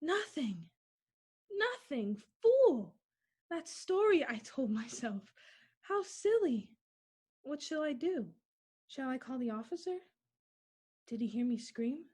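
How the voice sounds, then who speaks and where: A woman's voice: sits high, very animated, slow, long pauses, a little mellow, breathy edge, full character voice. A woman playing a frightened young woman alone at night, talking anxiously to herself in a theatrical old radio drama style. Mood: fearful. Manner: anxious self-talk, theatrical. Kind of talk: 1940s radio play acting. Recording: hissy, noisy recording.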